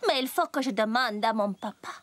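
A woman's voice: a little high, brisk, very noisy, natural voice. A woman playing a sweet, lovestruck young princess in a children's cartoon, coaxing and dramatic. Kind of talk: French children's cartoon voice acting. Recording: music behind the voice.

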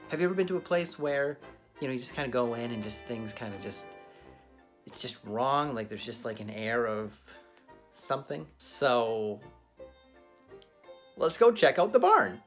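A man in his thirties presents a casual vlog with a very lively, swooping delivery. His medium-pitched voice halts and hesitates, with a playful sense of drama, over faint music. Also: normal speed, long pauses. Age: 30s. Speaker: vlog host. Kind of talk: casual first-person video tour. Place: at home indoors, then outdoors and inside an old barn. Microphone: handheld phone at arm's length.

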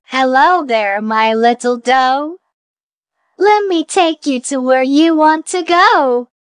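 A woman voicing a sweetly eerie cartoon creature with a coaxing, drawn-out manner. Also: sits high, lively intonation, no echo, clean studio sound. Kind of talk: cartoon game voice lines.

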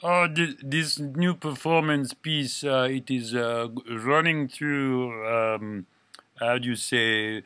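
A man playing an effusive, pretentious French sculptor-poet in a comedy talk show, with a French accent and halting, flowery phrasing. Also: medium pitch, sharp tone, smooth, no echo. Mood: halting, self-important.

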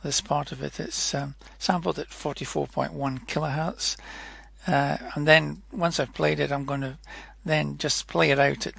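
A man with a slightly high, husky voice talks through a software demonstration. His delivery is halting and unscripted, with self-corrections along the way. The sound is dry and close. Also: fairly even intonation, dry recording. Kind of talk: informal technical tutorial narration.

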